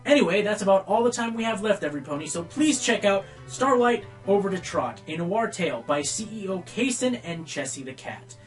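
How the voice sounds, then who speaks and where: A man's voice: high-pitched, big pitch swings, a little fast, few pauses, faint music behind. A man playing a wry, easily exasperated pony radio host in a radio play. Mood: wry, presenting. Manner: wry, dry teasing. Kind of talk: radio play acting as presenter.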